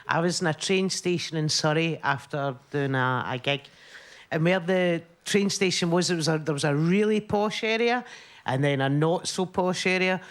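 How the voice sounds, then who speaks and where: A woman's voice: low-pitched, moderate pace. A woman comedian on a panel show telling anecdotes in a chatty way, with lots of "eh"s as she goes. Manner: chatty anecdote teller with filler "eh"s. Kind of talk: comic panel storytelling.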